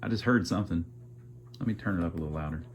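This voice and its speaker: A man's voice: deep voice, racing, slight background noise. A man casually thinking aloud as he narrates a hands-on repair, with a folksy, wry sense of humor. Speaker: amateur radio repairer and video host.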